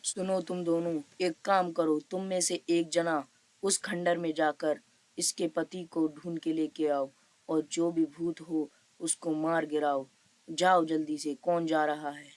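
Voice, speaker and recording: Lowish, commanding village headman acted by a woman. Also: flat delivery, a little slow, darkish tone. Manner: questioning, commanding, reassuring. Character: authoritative paternal male village headman.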